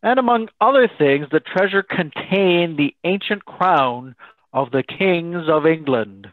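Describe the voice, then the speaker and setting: A man's voice: on the high side, expressive, measured pace, no room sound. A man playing a curious, courteous American announcer who prompts a storyteller in an old-time radio drama. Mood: attentive. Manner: inquisitive, courteous straight man. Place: home room on a group video call. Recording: thin, phone-like video-call line.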